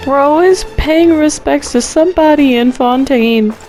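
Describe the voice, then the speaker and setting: A woman's voice: high voice, unhurried, darkish tone, soft background music. A woman streaming a game, reacting casually with slangy, playful and dramatic asides.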